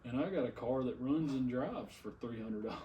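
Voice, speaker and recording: A man's voice: mid-range voice, brisk, warm tone, echoey room, far from the mic, dull audio, slight background noise. A man co-hosting a podcast in easygoing casual chat, laughing now and then. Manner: laid-back, chuckles often. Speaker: podcast co-host. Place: room at a high table. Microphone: desk mic on a stand.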